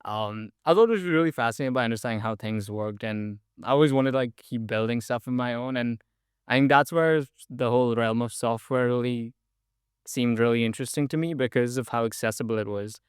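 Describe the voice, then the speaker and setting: Eager young man, crisp voice. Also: mid-range voice, fairly quick, no echo, clean studio sound. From India. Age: young adult. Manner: eager, chatty, frequent "like" and "um". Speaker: podcast guest. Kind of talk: casual interview storytelling.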